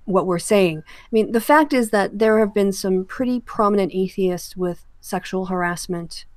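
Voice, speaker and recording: A woman's voice: medium pitch, normal speed, a little mellow, no echo. A woman hosting a casual panel discussion in a conversational way. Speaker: host.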